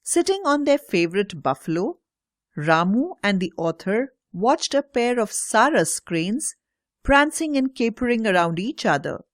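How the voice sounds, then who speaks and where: Woman storyteller with very lively pitch. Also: a little slow, dry recording, clean studio sound. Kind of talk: scripted children's story narration.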